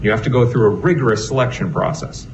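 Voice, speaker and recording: A man's voice: mid-range voice, quick pace. A man with a Boston accent cross-examining a witness as an attorney, pressing with frequent tag questions. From Northeastern United States. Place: courtroom. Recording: noisy, muffled courtroom recording.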